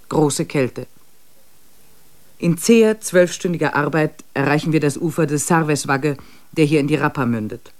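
A man's voice: a little high, natural voice. An actor playing a weary male expedition member who reads his diary entries in a sober, matter-of-fact way in a radio play.

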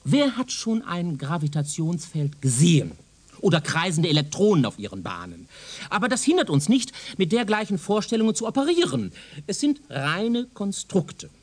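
An actor playing a self-assured, pedantic professor from the future in a German radio drama, lecturing in a condescending way. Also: male voice, high-pitched, sing-song, normal speed. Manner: pedantic, didactic, rhetorical.

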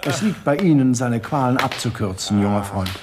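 Ein Schauspieler spielt in einem deutschen Hörspiel einen glatten, sadistischen Schurken, der spöttisch und genüsslich spricht. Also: male voice, medium pitch, lively intonation, normal speed, background noise, natural voice. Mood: mocking. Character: suave sadistic villain, middle-aged man, mocking. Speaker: villain Sir Bogomil. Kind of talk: German radio drama acting.

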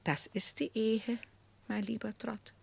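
An actress playing an older aunt, kindly but firm, speaking husky, measured advice in a 1960s German radio drama. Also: female voice, on the high side, fairly even intonation, a little mellow, full character voice. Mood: gentle, firm advice. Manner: measured, admonishing.

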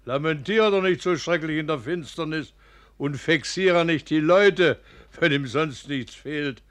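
A man's voice: fairly high pitch, unhurried, smooth, close to an everyday voice. A man playing a scolding, mocking townsman in a radio fairy tale, with a jeering drawl. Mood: mocking reproach. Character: scolding, mocking townsman, honest burgher passing by.

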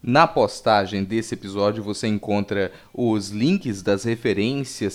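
A man hosting a podcast, talking informally to listeners with playful asides. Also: lowish voice. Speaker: podcast host. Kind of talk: casual podcast sign-off.

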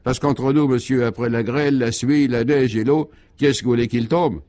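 A man's voice: medium pitch, steady tone, normal speed, mellow, lightly acted. A man voicing a ragged, absurdly optimistic townsman in a comic radio serial, polite, emphatic and delighted.

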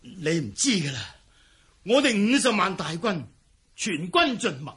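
Husky, high-pitched cowardly palace eunuch. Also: male voice, big pitch swings, measured pace, bright, forceful tone, heavily acted. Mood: defensive. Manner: hesitant stammering, sighing. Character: cowardly fleeing imperial palace chief eunuch, evasive, self-serving. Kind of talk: Cantonese historical radio drama acting.

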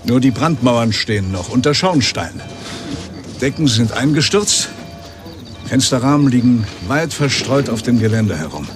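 An actor playing a seasoned, matter-of-fact fire chief in a German radio drama, explaining in short emphatic phrases. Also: male voice, mid-range voice, expressive, measured pace, music in the background, lightly acted. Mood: grave, explaining.

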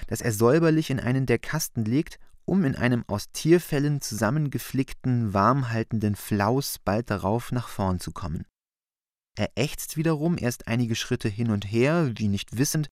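A man narrating a radio play, reading stage directions in a measured, literary delivery. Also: mid-range voice, darkish tone, dry recording, clean studio sound.

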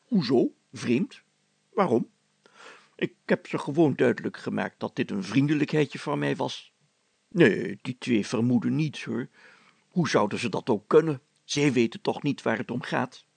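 A man voicing an excitable, self-important village mayor in a storybook, chatty, pompous and full of exclamations. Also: mid-range voice, a little slow, gravelly, husky, no echo, exaggerated voice. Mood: blustering, self-assured.

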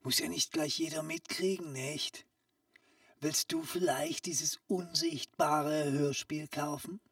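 A woman playing a sly, secretive street peddler in a comic audio play, coaxing in a hushed, conspiratorial way that often drops into whispers. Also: low-pitched, steady tone, slow, no echo, studio quality, distant mic, exaggerated voice.

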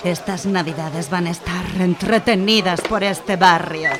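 A woman's voice: fairly low pitch, fairly even intonation, measured pace, lightly acted. A woman playing a brash mock-Russian fortune teller. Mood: scheming. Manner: theatrical, spouts mock-Russian gibberish. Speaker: character in a radio serial. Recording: crowd murmur behind the voice.

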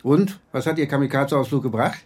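A man's voice: medium pitch, expressive, fairly quick, darkish tone, close to an everyday voice. A man playing a nagging, exasperated senior police investigator in a radio crime drama, officious and sardonic. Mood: irritated.

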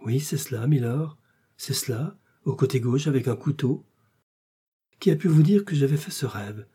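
A man's voice: medium pitch, expressive, normal speed, dark tone, breathy edge. A man voicing an anguished, dignified queen torn between duty and love, formal and imploring, in a novel reading. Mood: troubled.

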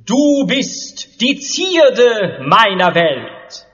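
An actor playing a doddering, hard-of-hearing husband who declaims rhymes in a theatrical stage comedy. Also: male voice, high-pitched, very animated, drawn-out words, fairly bright tone, heavily acted. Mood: pompous, befuddled. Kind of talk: stage comedy within audio drama. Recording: reverberant theatre hall, dull audio.

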